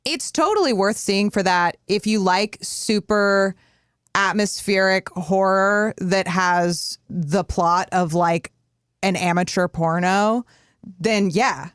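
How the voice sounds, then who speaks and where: Woman on a podcast, blunt opinionated casual talk, medium pitch, no room echo. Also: takes it slowly, clear, resonant tone, studio quality. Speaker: podcast co-host. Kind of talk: casual chat, reviewing a film.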